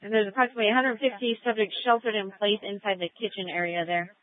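A woman's voice: mid-range voice, steady tone, fast talker. Over a police radio, an American woman works as a dispatcher, speaking in procedural phrases and clipped acknowledgements. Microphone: radio dispatch mic. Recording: narrow, tinny police scanner radio.

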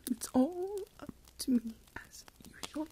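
A woman's voice: sits high, expressive, a little slow, dark tone, a little hiss or hum. A woman playing a fretful, melodramatic older Regency mother in a whispered ASMR roleplay, self-pitying and full of dramatic sighs, close to the mic. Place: indoors, lying in bed.

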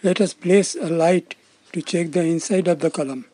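A man's voice: a little high, normal speed, music in the background. A man giving scripted, step-by-step narration as the presenter of a technical demonstration. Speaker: presenter of a loudspeaker testing demonstration.

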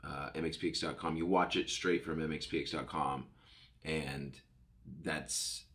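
A man's voice: rumbling low, fairly even intonation, unhurried, fairly bright tone. A middle-aged man hosting his own podcast from a home studio, rambling cheerfully, a bit stuffed up from a cold. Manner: rambling, upbeat, self-correcting. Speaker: podcast host. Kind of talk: casual solo podcast chat. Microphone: studio mic on boom arm, headphones.

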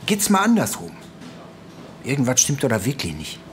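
A man playing an evasive, gruff police detective in a crime drama, talking casually and bluntly in everyday slang. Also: mid-range voice, huge pitch swings, moderate pace, background noise. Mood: casual, doubtful. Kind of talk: naturalistic audio drama dialogue.

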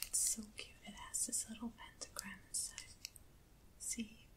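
A woman speaking in a hushed, breathy, gentle whisper, as in an ASMR unboxing video. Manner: hushed, gentle, unhurried with pauses. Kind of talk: soft-spoken ASMR unboxing. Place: indoors, at a table. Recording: clearly noisy hissy close recording.

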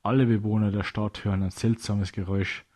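A man's voice: lowish voice, level tone, fairly quick, a little mellow. A man narrating a scripted children's audio story as a storyteller.

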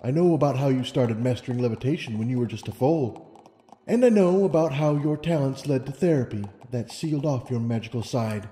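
A man voicing a gracious, benevolent female winged unicorn princess with measured authority. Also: medium pitch, lively intonation, mellow, natural voice. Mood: serene. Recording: long hall reverb over music.

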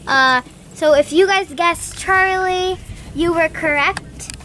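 A woman's voice: very high-pitched, takes it slowly, a bit bright. A young woman hosting a vlog in casual, chatty talk, recorded outdoors on a camera. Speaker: vlog host. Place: outdoors in a farm paddock. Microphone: handheld camera at a short distance. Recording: noisy outdoor camcorder audio.

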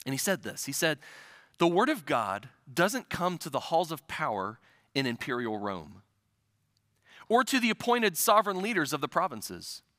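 A man in his forties, a pastor from the Midwest, preaching a sermon at a church pulpit on a headset mic, swelling loud and dropping to soft asides. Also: a little high, big pitch swings, moderate pace, no echo, clean studio sound. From American Midwest. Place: church sanctuary stage at a pulpit.

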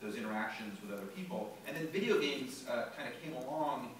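A man's voice: medium pitch, lively intonation, brisk, far from the mic, a little hiss or hum. Man musing in an echoey hall. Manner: thoughtful, many fillers and restarts. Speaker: panelist. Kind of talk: reflective panel answer. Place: large lecture hall. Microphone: phone recording from the audience.

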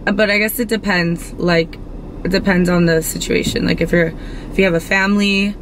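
A woman in her twenties answering questions in a casual interview, hesitant and quick to giggle. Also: fairly low pitch, fairly even intonation, noisy background. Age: 20s.

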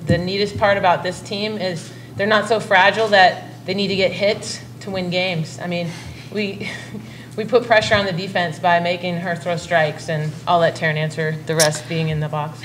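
A middle-aged woman coach fields postgame questions in a calm, measured way. Her voice sits on the low side. She speaks into a table mic in a press room, with clear noise behind her. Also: rough recording. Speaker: head softball coach. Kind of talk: postgame press conference answers.

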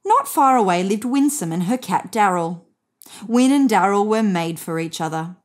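Animated woman reading a storybook. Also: medium pitch, moderate pace. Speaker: storybook reader. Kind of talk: expressive read-aloud children's story narration. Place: indoors on a bed.

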